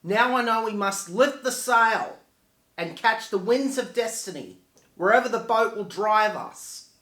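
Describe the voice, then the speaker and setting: A man's voice: high-pitched, a little slow, sharp tone. A man playing a regretful dead man who recites a poetic monologue with solemn, declamatory delivery. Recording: echoey room.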